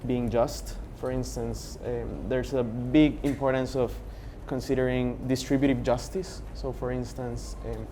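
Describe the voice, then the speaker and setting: A man's voice: mid-range voice, fairly even intonation, measured pace, hardly any pauses, dark tone, slight background noise. Earnest young male panelist. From Honduras. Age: young adult. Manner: earnest, building points with for instance. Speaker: panelist, youth organization founder. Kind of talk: panel discussion.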